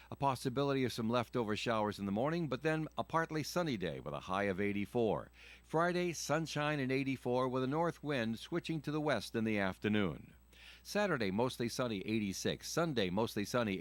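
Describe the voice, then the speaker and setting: A man's voice: medium pitch, sing-song, brisk, dry recording. A man reading a scripted weather forecast for radio.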